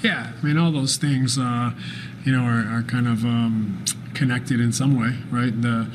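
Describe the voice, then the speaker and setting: Measured coach, steady and unhurried. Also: male voice, mid-range voice, background noise. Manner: measured, thoughtful, many fillers and restarts. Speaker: basketball head coach. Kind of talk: postgame press conference answers. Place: press room with sponsor backdrop, indoors. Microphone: desk microphone at arm's length.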